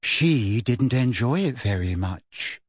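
A man voicing a vague, dreamy teenage witch girl who speaks slowly and bluntly in an audiobook story. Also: medium pitch, animated, slightly rough, no echo. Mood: dreamy, detached. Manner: vague, slow, thoughtful, unhurried.

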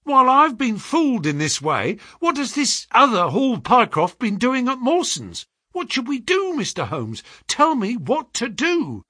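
Storybook duped young clerk male narrator: high, swooping, urgent and pleading, excitable. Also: a little fast, hardly any pauses, husky, dry recording, clean studio sound, full character voice. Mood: distraught, pleading. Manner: groaning, crying out, urgent.

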